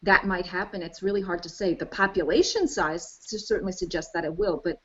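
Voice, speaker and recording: A woman's voice: lowish voice, a little fast, fairly bright tone, muffled recording. A woman linguistics professor giving thoughtful, explanatory answers in an interview over a video call.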